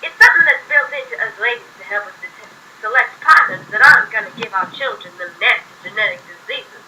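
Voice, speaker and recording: A woman's voice: a little high, expressive, fast, pushed and bright, highs cut off, slight background noise. A girl playing a saucy lady scientist. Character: prim female scientist, coy and saucy.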